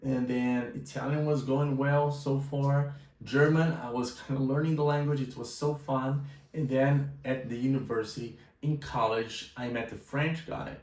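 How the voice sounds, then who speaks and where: A man's voice: medium pitch, fairly even intonation, a little slow, darkish tone. Brazilian man telling his story in a roomy echo. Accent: Brazilian Portuguese. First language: Portuguese. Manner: casual, conversational, with filler phrases. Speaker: language teacher. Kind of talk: personal storytelling vlog. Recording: roomy echo, mic at a distance.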